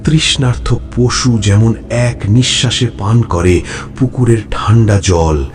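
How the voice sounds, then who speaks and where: An actor playing a bloodthirsty, coldly gloating vampire in a horror audio story, speaking with slow, savouring menace. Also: male voice, lowish voice, soft background music. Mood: savage relish. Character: bloodthirsty male vampire, coldly savouring his human prey. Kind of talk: dramatic first-person horror audio story narration.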